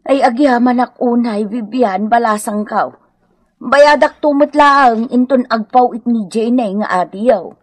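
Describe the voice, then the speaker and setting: A woman's voice: on the high side, measured pace, dry recording. A woman acting an aggrieved elderly mother-in-law in a radio comedy drama, plaintive and reproachful, with an Ilocano accent. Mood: grateful.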